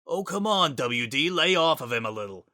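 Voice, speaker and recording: A bright, clear-cut voice for a wisecracking skeleton acted by a man. He talks in casual slang, teasing but fond, like an easygoing joker in a dramatized fantasy story. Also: a little high, lively intonation, normal speed, no echo, clean studio sound. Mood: teasing, reassuring. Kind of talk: dramatic reading of fan fiction.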